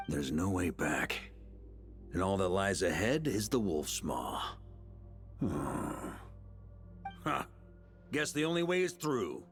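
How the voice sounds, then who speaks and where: A resolute game knight with a deep, lively voice, wry and thoughtful, taking his time. Soft game music sits behind him. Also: exaggerated voice. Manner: wry, thoughtful inner monologue. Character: bold male knight leader, wry and resolute. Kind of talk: video game voice acting.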